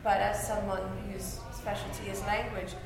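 A woman talking casually about writing, animated and thinking aloud with restarts. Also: mid-range voice, normal speed, creaky, very echoey, over a noisy crowd. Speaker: writer discussing fiction craft.

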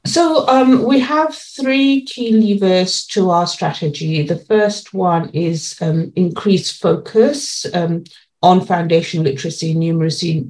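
A woman giving explanatory interview answers as a policy lead, laying out points in measured, formal terms. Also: on the low side, darkish tone.

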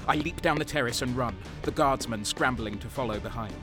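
Cold, sadistic soldier-narrator for a dark war audio drama male actor, mid-range, deliberate and dramatic, music bed. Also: medium pitch, lightly acted. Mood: grim, detached. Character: cold-hearted, sadistic young male soldier turned traitor. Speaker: narrator and lead character.

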